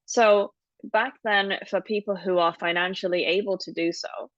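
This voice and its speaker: A woman's voice: mid-range voice, steady tone, normal speed, a bit bright, no echo, lo-fi sound. A woman in her thirties from Hong Kong, co-hosting a podcast and telling her family's story in a thoughtful, explanatory way. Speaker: podcast co-host. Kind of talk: casual podcast conversation.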